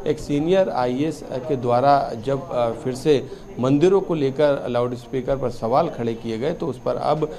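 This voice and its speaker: A man giving an unscripted live news report in a halting way with many fillers. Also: medium pitch, a crowd in the background.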